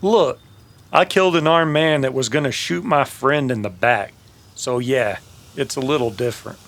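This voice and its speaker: A man plays a tough Old West bounty hunter. The voice sits at a medium pitch, plain-spoken and blunt, in the manner of a cowboy radio drama. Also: moderate pace, fairly bright tone, slight background noise, lightly acted. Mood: defensive. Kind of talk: old-time radio western acting.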